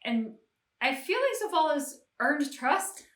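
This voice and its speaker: A woman's voice: sits high, sing-song, takes it slowly. A woman co-hosting a reaction show, talking through a game story in a casual, emphatic, explanatory way. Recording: roomy, echoey home-recorded sound.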